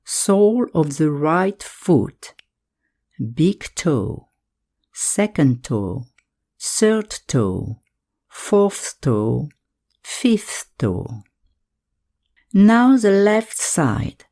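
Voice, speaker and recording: A woman guiding a yoga nidra meditation in calm, measured instructions, leaving pauses between phrases. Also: deep voice. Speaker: meditation guide.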